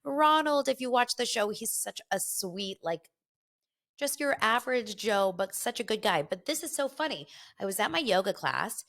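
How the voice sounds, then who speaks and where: A woman's voice: fairly high pitch, expressive, a bit bright. A middle-aged woman hosting her podcast in a casual, gossipy chat, a little congested from a head cold. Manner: chatty and gossipy, quick asides. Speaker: podcast host.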